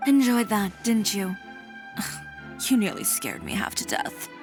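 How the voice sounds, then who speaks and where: A woman's voice: mid-range voice, very animated, a little slow. A woman voicing a confident, blunt young woman in an anime game, cool, self-assured and teasing. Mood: smug, teasing. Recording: music behind the voice.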